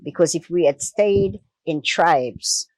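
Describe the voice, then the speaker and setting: A woman's voice: on the low side, takes it slowly, darkish tone, no room sound, low-quality recording. A woman speaking as an interview guest, emphatic and impassioned, repeating phrases for weight. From Jamaica. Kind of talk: impassioned discussion.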